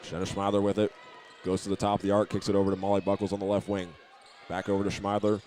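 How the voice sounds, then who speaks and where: A man giving steady, methodical live play-by-play commentary of a high school basketball game. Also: fast talker. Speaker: play-by-play announcer. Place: indoor school basketball gym. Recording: live gym broadcast with clear background noise.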